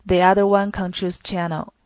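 A woman's voice: lowish voice, flat delivery, moderate pace, a little mellow, no room sound. A woman reading a scripted product tutorial in a stilted, phrase-by-phrase way. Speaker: product demonstrator.

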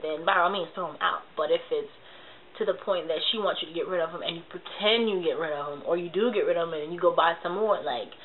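A young woman with a congested, nasal voice chats casually to camera, giving advice in slangy asides and smacking her lips as she talks. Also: lowish voice. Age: young adult. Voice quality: nasal from a cold. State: congested, slightly sick. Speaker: video blogger giving relationship advice. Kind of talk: casual confessional chat to camera. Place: small bedroom, indoors. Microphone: webcam mic at arm's length. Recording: tinny lo-fi webcam audio with hiss.

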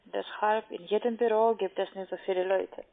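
Female call centre agent, non-native speaker, halting delivery, medium pitch, narrowband phone sound. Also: steady tone. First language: not German. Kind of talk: phone sales call, step-by-step instructions. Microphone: telephone. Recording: muffled narrowband phone line.

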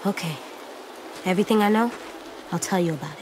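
A woman's voice: fairly low pitch, fast, noisy background, natural voice. A woman playing a serious, determined little boy in a video game drama, blunt and childlike in delivery. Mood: solemn.

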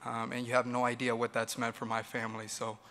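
Guy in his 30s, bright flat voice, lots of ums, talking at a panel table in a big hall. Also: mid-range voice, fast talker, a little hiss or hum. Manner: earnest, many ums and uhs. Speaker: restaurant manager, panelist. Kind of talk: prepared personal remarks at a roundtable.

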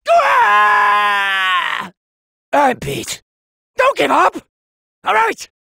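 A high-pitched, wildly swooping cat god of destruction for an anime fighting game. He is pompous, bratty and gluttonous, often shouting his imperious lines with a gravelly strain. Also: huge pitch swings, drawn-out words, no echo, studio quality, exaggerated voice. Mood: furious, blustering. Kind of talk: anime video game voice acting.

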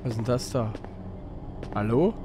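A man with a cold and a hoarse voice, casually commenting on a game he is playing, rambling and reading in-game text aloud. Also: mid-range voice, expressive, moderate pace, over music. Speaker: let's play host.

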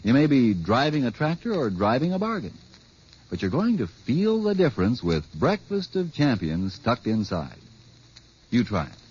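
A man delivering a folksy, emphatic vintage radio advertising pitch straight to the listener. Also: huge pitch swings, normal speed, darkish tone, husky. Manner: folksy, emphatic, direct address. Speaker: commercial pitchman. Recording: dull vintage radio broadcast.

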